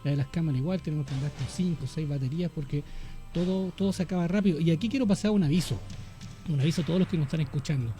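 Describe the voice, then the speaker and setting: A man's voice: a little high, very fast, mellow, soft background music. A man hosting a radio talk show, speaking eagerly and plugging his services to listeners. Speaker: radio host.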